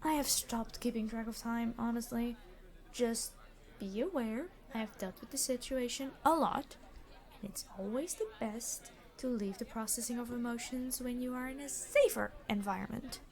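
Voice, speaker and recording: Woman doing tired immortal supervillain for asmr roleplay, highish voice, proper wording, sarcastic boss but soft on hostages. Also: moderate pace, natural voice. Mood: gentle, weary. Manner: formal, articulate phrasing with dry sarcasm. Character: weary immortal supervillain, exasperated boss yet gentle with hostages. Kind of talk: scripted ASMR roleplay acting.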